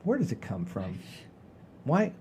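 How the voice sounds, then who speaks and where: An older man hosting a casual podcast, rambling in a folksy, halting way with repeats and the odd mild swear. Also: mid-range voice, huge pitch swings, dark tone, slightly rough. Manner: folksy, mildly profane, halting and repetitive. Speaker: podcast host.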